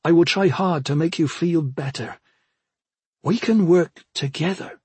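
Male narrator as young sister in fantasy audiobook, earnest pleading, lifted higher voice, determined. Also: measured pace, a little mellow, breathy edge, no room sound. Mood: gentle, imploring. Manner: earnest, imploring. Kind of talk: fantasy audiobook character acting.

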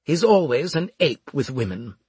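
A haughty male officer, acted out by a male audiobook reader, snaps in a raised, husky voice. He is clipped, sarcastic and always demanding. The pitch leaps about. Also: big pitch swings, moderate pace, a little mellow, no room sound, heavily acted. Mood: exasperated, sarcastic. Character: haughty, irritable male military officer, sneering and urgent.

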